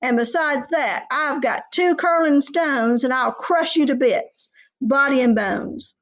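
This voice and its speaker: The biggest storybook billy goat, played by a woman, bold and defiant. The words come slowly and declaimed, in a slightly high female voice. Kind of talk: children's folk tale storytelling.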